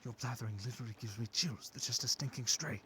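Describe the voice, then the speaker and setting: A man voicing a vain, grumpy fox familiar in a fantasy audio roleplay, pompous and sharp-tongued. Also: mid-range voice, fast, slight background noise, close to an everyday voice. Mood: exasperated, scolding.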